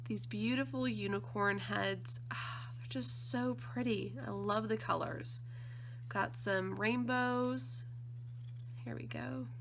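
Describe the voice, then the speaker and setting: Woman, slightly croaky voice, gushing, casual unboxing chat, mid pitch. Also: lively intonation, takes it slowly, slight background noise. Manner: gushing, enthusiastic. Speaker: scrapbooking design team member.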